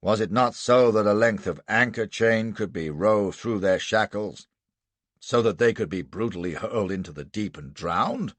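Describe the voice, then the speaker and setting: A man voicing a bullish male prosecuting lawyer in an audiobook, bawling and hectoring with emphatic, deliberate questions. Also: fairly low pitch, no room sound, natural voice. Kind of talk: audiobook character acting.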